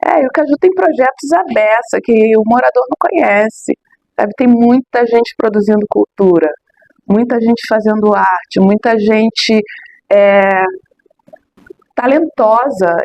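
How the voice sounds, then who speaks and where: A middle-aged woman from Rio de Janeiro, a local tour guide answering interview questions in an emphatic, expressive way with many tag questions. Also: on the high side, unhurried, vocal fry, dull audio. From Rio de Janeiro, Brazil.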